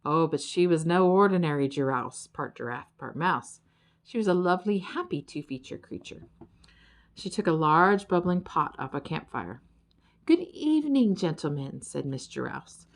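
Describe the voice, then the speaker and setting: A woman narrates a children's audiobook in an expressive storytelling style. The voice is on the low side for a woman, and the intonation is very lively. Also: normal speed, vocal fry.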